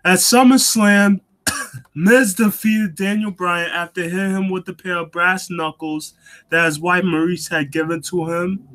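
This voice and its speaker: A young man hosting a casual talk show on his own, getting over a cold and coughing now and then, halting with lots of ums and restarts. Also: high voice, fairly even intonation, fairly bright tone, poor audio. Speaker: wrestling show host.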